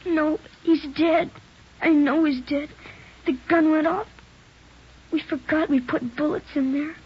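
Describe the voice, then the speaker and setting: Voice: high voice, fairly even intonation, a little slow. An actor in a 1940s radio drama playing a frightened, guilt-ridden nine-year-old boy, pleading and earnest. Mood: scared, remorseful. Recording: dull noisy 1940s radio recording.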